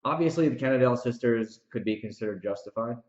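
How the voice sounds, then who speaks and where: A man's voice: medium pitch, fast talker, a little mellow. Man in a roomy, dull recording. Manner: emphatic, repeats phrases and restarts for effect. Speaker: podcast host and author. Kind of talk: reflective solo recap commentary, part rant.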